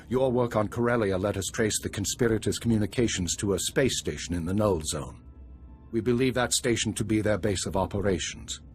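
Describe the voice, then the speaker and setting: Low-voiced, weary older male spymaster played by an actor. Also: natural voice. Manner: measured, formal. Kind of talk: cinematic video game acting.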